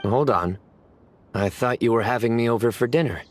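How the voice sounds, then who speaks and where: A man voicing a stern young man who loves bad puns and speaks in a deadpan, low-key way in a game cutscene. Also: normal speed, a little hiss or hum, close to an everyday voice.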